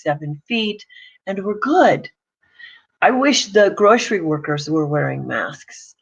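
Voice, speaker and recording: A woman's voice: on the low side, vocal fry, dull audio. A woman coach giving a casual, plain-spoken morning talk to camera. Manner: chatty, pragmatic, plain-spoken.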